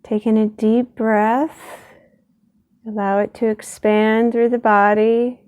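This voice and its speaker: A woman leading a gentle guided meditation in a soothing, unhurried way, leaving long pauses between short phrases. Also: fairly high pitch, little pitch movement, smooth.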